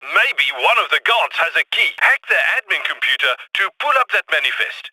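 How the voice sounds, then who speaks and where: A man's voice: a little high, very animated, moderate pace, pushed and bright, husky, exaggerated voice, low-quality recording. An actor playing a chipper, wry heist planner who briefs his crew playfully over a radio in a video game. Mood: brisk, instructive. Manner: playful, theatrical, instructive. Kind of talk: video game mission voice lines.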